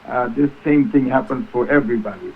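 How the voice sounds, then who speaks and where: A man's voice: medium pitch, fairly quick. A man in his eighties from Tanzania, talking conversationally as an interview guest over the phone. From Tanzania, East Africa. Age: 80s. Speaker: guest, reflexology practitioner. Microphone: phone call. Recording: noisy, tinny phone line.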